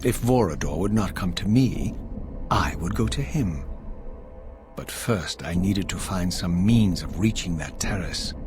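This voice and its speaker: A man voicing an undead, brooding former vampire, narrating a dramatic video game story in formal, measured, theatrical diction. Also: sits low, expressive, echoey room. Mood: brooding resolve. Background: music.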